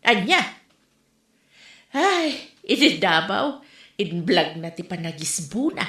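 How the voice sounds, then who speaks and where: A woman's voice: medium pitch, very animated, takes it slowly, fairly bright tone, roomy sound. A woman with a Filipino Ilocano accent acting a scandalised village gossip in a comedy drama.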